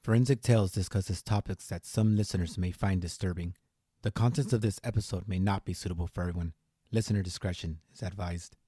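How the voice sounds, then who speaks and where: A man's voice: low-pitched, steady tone, brisk, warm tone, breathy edge, dry recording, studio quality. A man reading a scripted content warning as an announcer. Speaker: disclaimer announcer.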